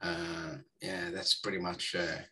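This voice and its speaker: Israeli guy in his forties, low and bright-toned, loose rambling interview talk. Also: big pitch swings, takes it slowly, vocal fry. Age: 40s. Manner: rambling, many fillers and restarts. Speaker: guest. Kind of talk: casual interview chat.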